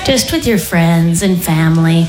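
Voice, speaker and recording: A woman doing stand-up, shifting from deadpan talk into shrill mock voices. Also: measured pace, over music. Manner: deadpan then shrill mock voices, laughs at own bits. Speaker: stand-up comedian. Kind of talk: stand-up routine. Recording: live set in an echoey room with noise.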